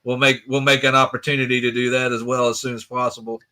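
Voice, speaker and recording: A man co-hosts a casual online chat in an easygoing, upbeat way. His voice has a bright, forward edge at an ordinary pitch. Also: flat delivery, fast talker. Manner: easygoing, upbeat, wrapping things up. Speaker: co-host. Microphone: remote stream mic.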